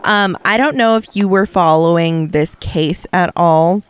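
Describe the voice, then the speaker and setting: A woman's voice: lowish voice, measured pace, slight background noise. A woman co-hosting a casual podcast, chatting with many ums and restarts. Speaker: podcast co-host.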